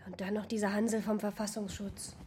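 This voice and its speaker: An actress playing a practical, caring but exasperated farm niece in a naturalistic radio drama, dry and matter-of-fact. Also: female voice, mid-range voice, little pitch movement, fairly quick, mellow, a little hiss or hum, natural voice. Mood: brisk, exasperated.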